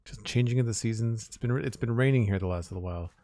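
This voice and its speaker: A man streaming a building game, talking casually in a raspy, slightly hoarse voice as he thinks aloud in an unhurried, rambling way. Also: lowish voice, mellow, slight background noise. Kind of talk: casual live-stream chat while building.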